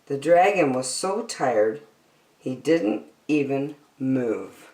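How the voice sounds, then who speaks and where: A woman reading a picture book aloud to children as a storyteller, expressively, with deliberate dramatic emphasis on key words. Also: very deep voice, expressive, dark tone. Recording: roomy indoor echo.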